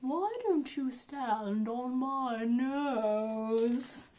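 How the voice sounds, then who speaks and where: Woman as a slow, crafty fox. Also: on the high side, a little mellow. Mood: sly coaxing. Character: crafty, coaxing storybook fox.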